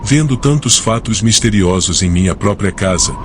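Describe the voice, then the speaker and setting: A man playing a seasoned, superstitious camel driver and servant in an audiobook drama, grave, wary and deliberate. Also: fairly low pitch, expressive, natural voice. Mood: suspicious, grave. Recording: faint background noise.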